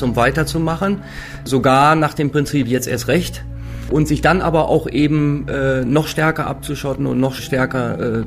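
A man speaking formally, like an official, in an expert interview. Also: mid-range voice. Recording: music under the voice.

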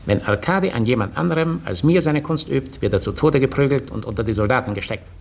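An actor playing a haughty, cruel Russian count who lords it over serfs, imperious and formal, in a 1950s German radio play. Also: male voice, medium pitch, animated, fast talker, creaky. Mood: stern, imperious. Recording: faintly noisy 1950s radio recording.

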